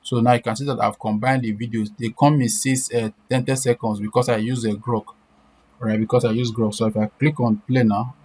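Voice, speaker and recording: A man's voice: mid-range voice, little pitch movement, fairly quick, darkish tone. A man presenting an informal step-by-step screen-share tutorial, repeating himself and often checking in with short questions. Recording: clear background noise.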